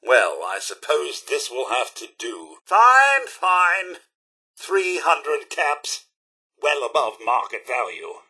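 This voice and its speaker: A man's voice: mid-range voice, sing-song, slow pace, bright, forceful tone, husky, full character voice. A male actor playing a prim, loyal, fussy robot butler in a video game, with posh, theatrical British diction. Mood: stiff, supercilious, then exasperated, haughty. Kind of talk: video game companion dialogue.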